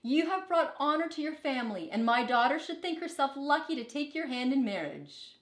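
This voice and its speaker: A pompous, commanding storybook king and father, played by a woman reading aloud. The delivery is emphatic and declaiming, with big pitch swings. The room adds a noticeable echo. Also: animated, moderate pace. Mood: grandly admiring. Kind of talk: picture book read-aloud character voice.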